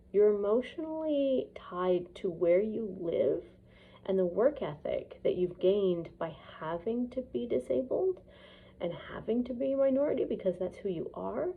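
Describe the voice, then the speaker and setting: A Canadian woman vlogger talking in a casual, rambling personal monologue, blunt and opinionated, recorded on a phone. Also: mid-range voice. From Edmonton, Canada. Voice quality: husky, creaky voice. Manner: blunt, opinionated, hesitant phrasing with frequent swearing. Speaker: vlogger, piano student. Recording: dull phone-recorded lo-fi sound.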